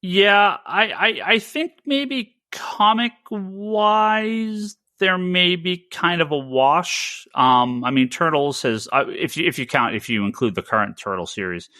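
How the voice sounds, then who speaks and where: A man co-hosting a casual panel discussion, talking haltingly and often stammering over repeated words. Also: high-pitched, animated, bright, forceful tone, no echo, studio quality. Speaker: podcast co-host.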